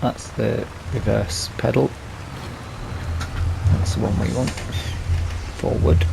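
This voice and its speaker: A man's voice: mid-range voice, fairly even intonation, slow pace. A man hosting a gaming video with casual let's-play commentary, rambling and thinking aloud as he plays. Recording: clear background game vehicle noise.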